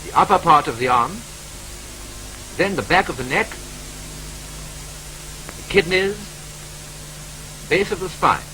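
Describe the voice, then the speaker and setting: A man with a British accent narrating a 1940s training film in a brisk, clipped, wryly matter-of-fact manner, from an old film soundtrack. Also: on the high side, animated, bright, forceful tone, a little hiss or hum, rough recording.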